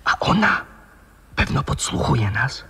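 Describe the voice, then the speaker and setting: A sly, ghostly bird-catcher. He speaks slowly in a very hoarse, creaking half-whisper, insinuating and theatrical. The 1960s radio play recording carries long reverb. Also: medium pitch, sing-song, pushed and bright, exaggerated voice. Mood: ominous. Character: sly, ghostly old bird-catcher from opera, gently teasing.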